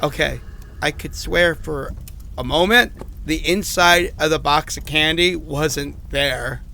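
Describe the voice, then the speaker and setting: A man's voice: on the high side, slow pace, creaky, sharp tone, a little hiss or hum, exaggerated voice. A man acting an anxious, self-doubting survivor who records rambling, hesitant diary messages in a horror audio drama. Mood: bewildered, hushed.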